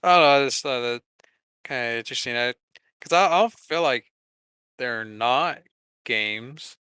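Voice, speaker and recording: Man, crisp smooth voice, close dry sound, informal jokey podcast co-host. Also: medium pitch, measured pace, bright, forceful tone, no echo. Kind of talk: casual podcast chat.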